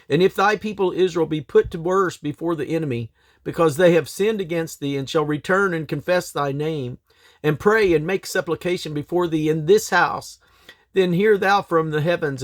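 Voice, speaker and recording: A man reads aloud from the King James Bible in a solemn, measured, declamatory way, phrase by phrase. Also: on the high side, dry recording, studio quality. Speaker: scripture reader and host.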